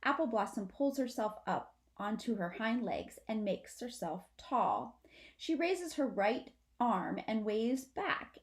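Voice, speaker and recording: A woman, a teacher, narrates a children's story aloud for her students. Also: fairly high pitch.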